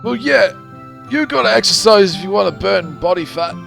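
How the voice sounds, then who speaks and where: A man's voice: high-pitched, lively intonation, normal speed, vocal fry, a bit bright, faint music behind, pitched above the performer's own voice, exaggerated voice. A man voicing a perky, chubby young saleswoman in a visual novel, with peppy, emphatic slangy speech. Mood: earnest.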